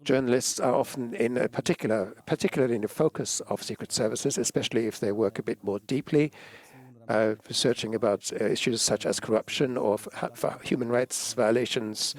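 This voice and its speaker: A man speaking as a press freedom advocate at a conference panel, hesitant, with many fillers and throat clearing. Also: mid-range voice, normal speed.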